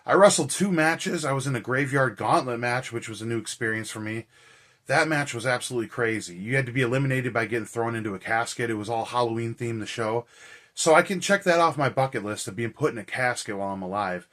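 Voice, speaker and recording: A man with a hoarse, raspy, tired voice, hosting a vlog in a casual, rambling, slangy way. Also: medium pitch, fast, fairly bright tone. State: hoarse and tired after a cold and shouting. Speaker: horror movie reviewer and vlog host. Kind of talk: casual chat to camera.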